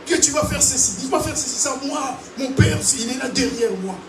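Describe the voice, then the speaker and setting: A man from Africa preaching a sermon to a congregation, animated and calling out to them. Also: extremely high pitch, fast talker, music in the background. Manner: animated preaching with call-and-response. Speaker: pastor. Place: large church hall. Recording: echoey hall, distant mic, noisy.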